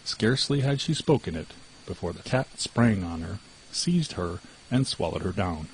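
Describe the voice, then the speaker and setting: A man with a fairly low voice narrates an audiobook fairy tale. His scripted reading is expressive, with a highly varied pitch. The recording carries some background noise. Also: normal speed, poor audio.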